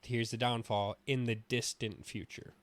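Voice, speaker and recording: A man's voice: medium pitch, little pitch movement, a little slow, no echo. Man chatting on a dry-sounding podcast. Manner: opinionated, swears casually. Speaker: podcast co-host. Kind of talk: casual gaming podcast chat.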